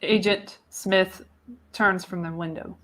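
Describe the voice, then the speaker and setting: A woman's voice: mid-range voice, fairly even intonation, slow pace, fairly bright tone. A woman narrating screenplay stage directions for a live radio play, reading expressively with the occasional stumble. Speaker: narrator, show host.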